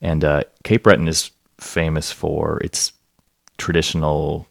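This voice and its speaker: A man presents songs on his radio show in a relaxed, rambling way, often pausing to find his words. His voice sits extremely low and has a gravelly, fried edge. The sound is dry, with no room around it. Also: dry recording. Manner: easygoing, chatty, hesitant with many fillers. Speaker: radio music show host. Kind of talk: casual radio commentary between songs. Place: Prince Edward Island.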